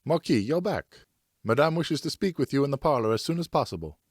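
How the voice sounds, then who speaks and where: Man voicing a high-pitched, formal French housemaid. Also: lively intonation, fairly quick, no echo, clean studio sound, lightly acted. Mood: urgent, evasive. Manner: formal, urging. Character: brisk, evasive female household servant in old Paris. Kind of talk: audiobook character acting.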